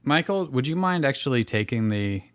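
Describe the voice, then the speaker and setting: A man's voice: medium pitch, lively intonation, brisk, clean tone. A man reading fan fiction aloud as a show host, often breaking off to joke and comment in casual banter. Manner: joking, breaks off to comment on the text.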